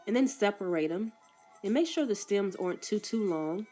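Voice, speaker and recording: A woman's voice: medium pitch, expressive, moderate pace, dark tone, soft background music. A woman with a cold, sounding congested and coughing now and then as she walks through a recipe step by step in a casual cooking demonstration. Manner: plain step-by-step instructions with frequent coughs. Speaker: cook. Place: food truck kitchen.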